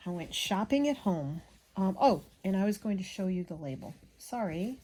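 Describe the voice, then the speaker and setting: A woman chatting casually as she hand stitches, rambling and absent-minded, muttering to herself, with a slightly gravelly voice. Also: medium pitch, expressive, moderate pace, dark tone, a little hiss or hum, low-quality recording. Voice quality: slightly gravelly from a frog in the throat. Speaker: craft vlogger.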